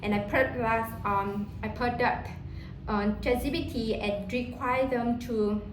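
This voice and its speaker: A woman from Vietnam with a Vietnamese accent, talking casually in English about how she learns it, halting and often repeating words as she searches for them. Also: fairly high pitch, steady tone, reverberant, slight background noise, rough recording.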